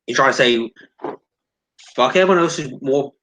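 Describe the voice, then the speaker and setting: A man's voice: medium pitch, normal speed, fairly bright tone, a little hiss or hum, low-quality recording. A man giving blunt opinions in a casual discussion, repeating his points for emphasis. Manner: blunt, sweary, emphatic repetition.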